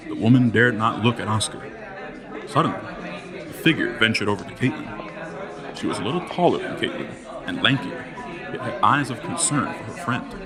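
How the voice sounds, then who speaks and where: A man's voice: medium pitch, expressive, normal speed, over music. A man narrating a scripted gothic audio drama in a florid, dramatic manner.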